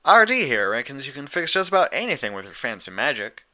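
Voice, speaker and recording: A teasing farm mare from a cartoon story, played by a man. The way of talking is folksy and casual, in a bright, forceful voice. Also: medium pitch, very animated, fast talker, pitched above the performer's own voice. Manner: folksy, dropped words, teasing. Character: folksy, teasing female farm earth pony.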